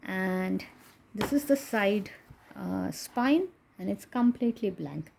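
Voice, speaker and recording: Indian woman reviewer, mellow gravelly voice, chatty and self-deprecating. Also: mid-range voice, animated, slow, a little hiss or hum. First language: Konkani. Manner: chatty, laughs often, self-deprecating asides. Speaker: coloring book reviewer. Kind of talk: casual book flip-through.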